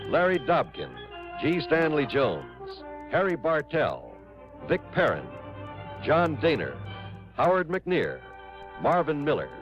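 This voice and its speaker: An American man reading scripted announcements as a mid-century radio announcer. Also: on the high side. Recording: muffled old radio recording, faint music.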